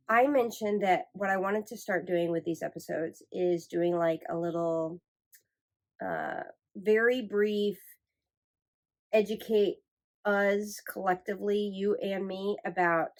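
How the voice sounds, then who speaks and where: A woman from Michigan hosting a knitting podcast, chatting in a rambling, self-correcting way and sounding nasal from a cold. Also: mid-range voice. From Michigan, USA. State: congested from a cold. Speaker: podcast host. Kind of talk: casual chat.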